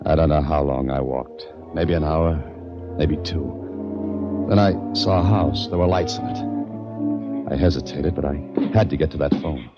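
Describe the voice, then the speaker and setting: An actor playing a tough, wry, framed hero who speaks tersely in a 1940s radio mystery. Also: male voice, a bass voice, unhurried, loud music behind the voice. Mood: tense narrating. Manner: terse, hard-boiled, urgent in dialogue. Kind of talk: 1940s radio mystery acting and first-person narration. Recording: dull vintage 1940s radio recording.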